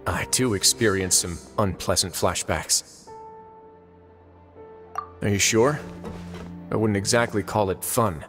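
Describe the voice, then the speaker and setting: An actor playing a composed, wry duke who runs a prison in a video game, speaking in a measured, suave way. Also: male voice, fairly low pitch, fairly bright tone. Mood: calm, businesslike. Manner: measured, suave, dryly teasing. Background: music.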